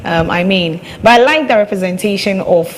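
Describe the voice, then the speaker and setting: A woman's voice: mid-range voice, brisk. A woman co-hosting a live broadcast, talking in a loose conversational way with many fillers. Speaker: radio talk show host.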